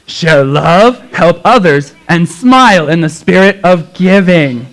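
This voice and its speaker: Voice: medium pitch, animated, takes it slowly. A performer voicing puppet characters in a children's church Christmas show, exclaiming and addressing the children in an exaggerated way. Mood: earnest preaching.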